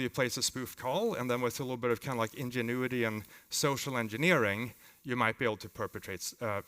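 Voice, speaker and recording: Man, Swedish accent, conference speaker, informal halting delivery, bright voice, long hall reverb. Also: mid-range voice. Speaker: company founder giving a conference presentation. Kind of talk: live conference talk with demos.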